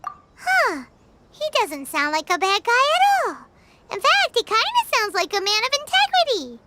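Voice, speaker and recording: Game fairy companion: childlike and chatty, squeaky, wildly swooping delivery. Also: female voice, huge pitch swings, moderate pace, very noisy, heavily acted. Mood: puzzled. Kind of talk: video game dialogue. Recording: loud music underneath.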